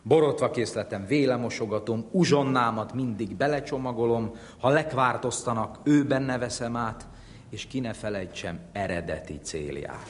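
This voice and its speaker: A man's voice: mid-range voice, slight background noise. A man reciting comic rhyming verse in a wry, theatrical way, recorded with long hall reverb.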